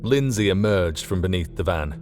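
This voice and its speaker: A man's voice: low voice, lively intonation, normal speed, a little mellow, faint music behind. A man reading scripted fiction as an audiobook narrator.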